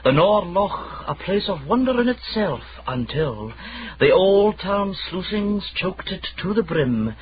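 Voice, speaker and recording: A wry poet narrator in a radio drama, reciting verse with theatrical, halting deliberation. His delivery is very slow and slightly high in pitch. The recording is dull, with some background noise. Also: big pitch swings, a crowd in the background. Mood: declamatory. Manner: halting, self-correcting, declamatory.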